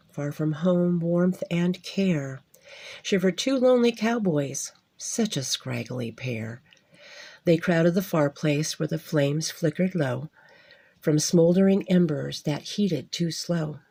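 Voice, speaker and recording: A woman reading a rhyming Western Christmas tale aloud in an expressive, measured storytelling manner. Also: deep voice. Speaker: storybook reader.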